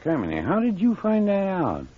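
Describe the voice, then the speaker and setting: A folksy country man in an old-time radio serial, easily amazed. His husky voice swoops wildly up and down. The recording is muffled. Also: high voice, huge pitch swings, normal speed, full character voice. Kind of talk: 1940s radio drama acting.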